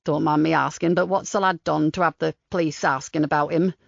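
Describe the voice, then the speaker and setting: A woman voicing a chatty, kindly old countryman gardener with a broad Lincolnshire dialect, slow and lower than her own voice. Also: steady tone, gravelly, no echo, exaggerated voice. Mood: folksy. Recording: slightly dull dry recording.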